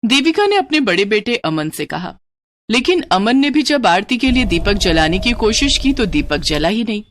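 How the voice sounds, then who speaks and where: This is a woman telling a scripted moral cartoon story. Her mid-range voice moves up and down in a very animated, dramatic storytelling style. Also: mid-range voice, moderate pace, a little hiss or hum.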